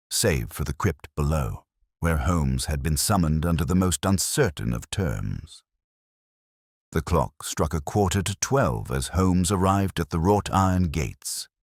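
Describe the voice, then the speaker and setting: A man's voice: a bass voice, no room sound, clean studio sound. A man reading a scripted mystery story as a dramatic narrator.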